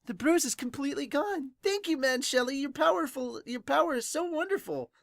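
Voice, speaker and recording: Host putting on a shy young manga princess: high, gentle, grateful and sweet. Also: lively intonation, brisk, vocal fry, no room sound, studio quality, exaggerated voice.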